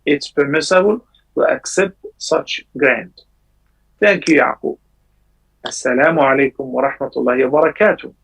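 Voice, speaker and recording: A man hosting a live call-in show, answering callers' religious questions in measured explanations. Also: gravelly, husky, low-quality recording. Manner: measured explanations with sudden lively rises in pitch. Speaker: scholar answering viewers' calls.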